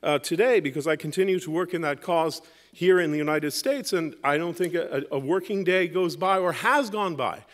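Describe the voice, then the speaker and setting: A man from Lebanon speaks as a panelist in a debate, with a voice set somewhat higher than most men's. He delivers prepared arguments emphatically, in an argumentative style that uses rhetorical questions to press his case. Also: normal speed. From Lebanon, grew up in West Beirut. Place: university debate hall.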